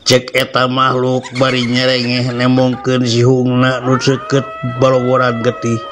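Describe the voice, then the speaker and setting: A man's voice: medium pitch, monotone, unhurried, a bit bright, a little hiss or hum. A man with a Sundanese accent narrating a horror story dramatically as host, with music behind him. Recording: music under the voice. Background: background music.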